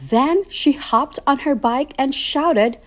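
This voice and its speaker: A woman reading a children's picture book aloud in an expressive, deliberate, sing-song storytelling manner. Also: high voice.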